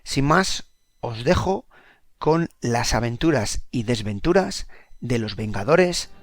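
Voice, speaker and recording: A man's voice: mid-range voice, animated, slow, dry recording, clean studio sound. A man with a Castilian accent from Spain, speaking as a podcast host introducing an audio drama. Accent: Spanish from Spain.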